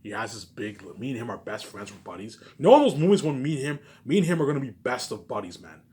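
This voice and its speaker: A man's voice: medium pitch, sing-song, fast, a little mellow. A man delivering a rambling solo vlog into a phone, emphatic, boastful and repetitive, often asking questions and answering them himself. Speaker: vlogger. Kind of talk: rambling solo monologue to camera.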